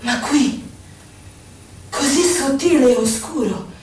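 An actress playing a grieving, lyrical poetic figure, reciting dramatically and lingering on each phrase. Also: female voice, high voice, slow pace, darkish tone. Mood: contemplative. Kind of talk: theatrical poetry recitation. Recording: very echoey, distant, faint noise.

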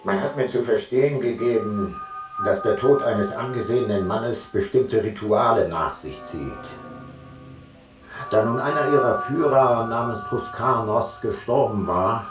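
An actor playing an ancient traveller who gives a measured, detached eyewitness account in a literary radio play. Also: male voice, mid-range voice, over music, close to an everyday voice, rough recording. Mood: sober, observant. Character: sober ancient traveller reporting a foreign funeral rite.

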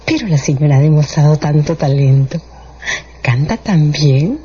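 An actress playing a faithful, solicitous maid in a radio drama, speaking in a deferential, coaxing way. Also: female voice, deep voice, lively intonation, normal speed, warm tone, breathy edge, exaggerated voice. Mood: eager, respectful. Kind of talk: Spanish-language radio drama acting. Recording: muffled audio, faint background noise.

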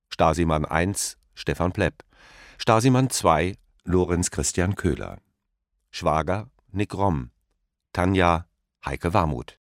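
A man's voice: deep voice, dry recording, clean studio sound. A man reading scripted radio drama credits as an announcer.

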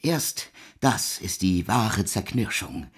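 An actor playing a proud intellectual man in a literary radio drama, with a husky voice and a formal, deliberate, insistent delivery. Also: low voice, lively intonation, heavily acted. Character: proud, intellectual man arguing theology with the devil.

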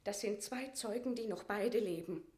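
A woman's voice: mid-range voice, moderate pace, darkish tone, close to an everyday voice. An actress playing a proud captive Scottish queen in a classical radio drama, declaiming formal verse with eloquent, impassioned defiance. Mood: defiant, demanding. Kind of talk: classical German stage verse radio acting.

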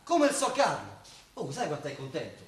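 An eager, naive, chatty Roman working man. His rather high voice swings about in lively dialect. It sounds like a radio play in an echoey room. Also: brisk. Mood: eager, persuasive. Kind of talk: naturalistic stage-style radio drama acting in dialect. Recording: roomy echoing sound, faint noise.

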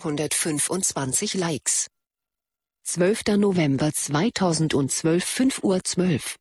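Detached woman announcer, really husky and slow, dry close sound, matter of fact radio drama bits. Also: fairly low pitch, expressive, a little mellow, dry recording, heavily acted. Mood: neutral, detached. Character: impersonal, detached announcer of social media statistics. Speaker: announcer of post dates and like counts.

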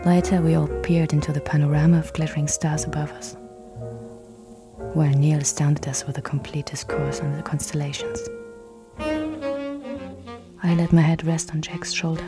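A woman playing a dreamy, longing memoirist in a radio drama, soft-spoken and breathy, fading toward a whisper. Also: sits low, flat delivery, moderate pace, pauses often, over music, close to an everyday voice. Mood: dreamy, nostalgic. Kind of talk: scripted radio drama narration.

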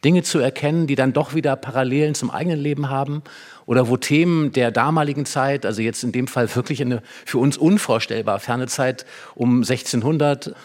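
A man speaking as an expert guest in a stage conversation, explaining reflectively and choosing phrases carefully with pauses. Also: medium pitch, darkish tone. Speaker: expert guest, dramaturg and writer. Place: hall with a live audience.

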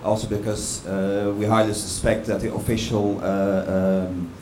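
A man on a panel answering audience questions with a hesitant, measured delivery full of fillers. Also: on the low side, level tone, darkish tone. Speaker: panel speaker. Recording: echoey room with background noise.

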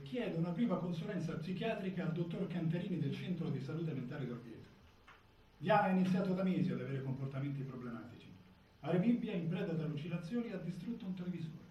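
An actor playing a grave defense lawyer, delivering a formal, clinical theatrical monologue. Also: male voice, high voice. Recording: echoey, distant, dull stage recording, faint noise.

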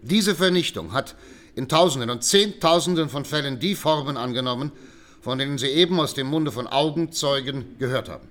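Male actor as expert witness in his sixties, mid pitch, scholarly, measured, formal, courtroom radio drama. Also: a bit bright, close to an everyday voice. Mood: earnest. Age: older. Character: scholarly expert witness, sixty-two-year-old German writer.

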